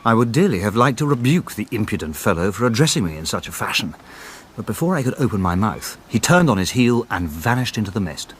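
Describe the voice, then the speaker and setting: An actor playing an anxious, genteel gentleman narrating a mystery in formal, literary language as radio drama. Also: lowish voice, big pitch swings, fast, a little hiss or hum. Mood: unsettled.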